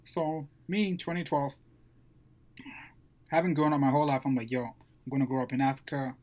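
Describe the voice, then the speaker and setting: A man's voice: medium pitch, steady tone, fairly quick, a little mellow. A young man from Zimbabwe sharing his background in a casual podcast chat, using easy slang. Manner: casual slang, addresses friends as bro.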